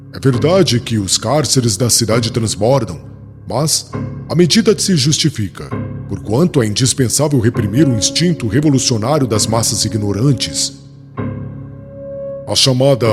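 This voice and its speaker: A man's voice: medium pitch, close to an everyday voice. Guy doing a proud young religious zealot giving a formal speech, brazilian accent, big echoing hall, music under it. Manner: formal, declamatory oratory. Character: proud young rabbi zealot, stern persecutor addressing a council. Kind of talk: dramatized audiobook speech.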